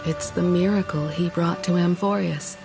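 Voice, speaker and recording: A woman playing a calm, knowing sage in a dramatic game scene. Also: lowish voice, moderate pace, vocal fry. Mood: quiet awe. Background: music.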